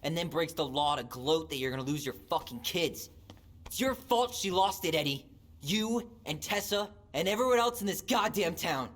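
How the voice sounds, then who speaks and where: A man's voice: a little high, lively intonation, normal speed, fairly bright tone. An actor playing a wounded, angry young American man in a naturalistic video game drama. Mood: furious. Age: young adult. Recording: noisy game capture.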